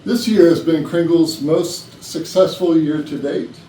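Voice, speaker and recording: A man playing a genial retiring chief executive, giving a measured, gracious speech in a stage play. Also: a little high, mellow, natural voice. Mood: gracious, formal. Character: genial, formal retiring male company CEO. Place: indoor rehearsal room. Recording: roomy rehearsal, distant mic, faint noise.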